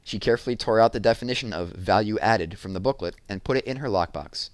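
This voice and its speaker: A man narrating a children's novel aloud in an expressive storytelling style. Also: on the low side, fast talker, no room sound.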